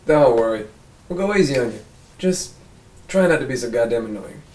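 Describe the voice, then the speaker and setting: An American man playing a gruff, sarcastic, weary police detective at a script read, curt and dismissive. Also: mid-range voice, lively intonation, a little fast, darkish tone, lightly acted. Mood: dry, annoyed. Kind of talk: table read of a film script. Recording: roomy distant mic, faint noise.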